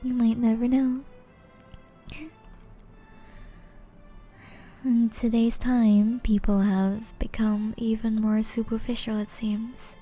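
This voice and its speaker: Casting: actress as seductive aristocratic vampire woman for ASMR roleplay; mellow, unhurried, breathy and refined, music underneath. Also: on the high side. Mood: refined, amused. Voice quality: soft, breathy, often whispery. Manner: refined, formal, teasing, slow and deliberate.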